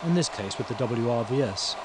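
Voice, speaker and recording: Man narrating over loud vehicle noise. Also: mid-range voice, lively intonation, a little fast. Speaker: training film narrator. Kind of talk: scripted instructional narration. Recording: noisy 1990s training video, engine sounds.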